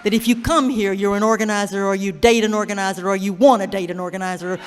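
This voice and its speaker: A woman speaker addressing a gathering in a fast, witty, rousing way. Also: medium pitch, fairly even intonation, long reverb tail, a little hiss or hum.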